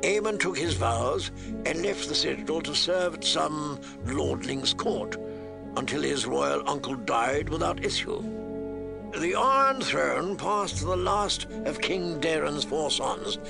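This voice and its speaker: A man reading a story aloud as an expressive audiobook narrator, with music behind him. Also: a little high, a little slow, creaky.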